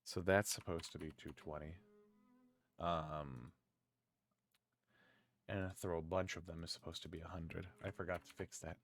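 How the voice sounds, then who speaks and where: A man hosting a live stream in casual chat, groggy from just waking up and rambling in a low-key way. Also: fairly even intonation, normal speed, long pauses. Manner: rambling and low-key, with many filler words. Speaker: streamer and podcast host.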